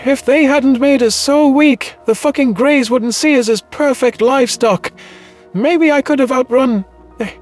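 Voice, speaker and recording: Alien survivor role, male actor: very high male voice, distraught, bitter, agitated, light music under. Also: a little slow. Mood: hysterical, bitter.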